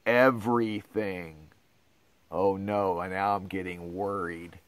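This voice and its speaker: A man's voice: low-pitched, fairly even intonation, slow pace. A middle-aged man reading a picture book aloud to children with theatrical, exaggerated dismay and astonishment. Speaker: pastor reading to schoolchildren.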